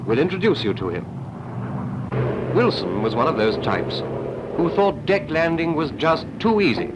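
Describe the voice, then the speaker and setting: A man playing a brisk British naval instructor, narrating a 1940s training film in a clipped, didactic, emphatic way. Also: fairly high pitch, big pitch swings, dull audio. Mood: brisk, instructive. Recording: noisy vintage 1940s film soundtrack.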